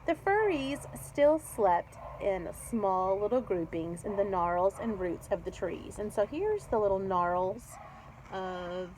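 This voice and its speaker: A woman reading a children's book aloud, expressive and explanatory, as if speaking to young children. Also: mid-range voice, slow pace, a little hiss or hum, poor audio. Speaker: read-aloud storyteller. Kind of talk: children's book read-aloud with chatty asides.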